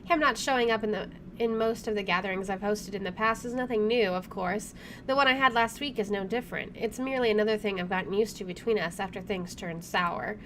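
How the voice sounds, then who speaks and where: A woman's voice: mid-range voice, brisk, a bit bright, a little hiss or hum. A woman game streamer doing a visual novel playthrough, moving between reading narration and casual commentary.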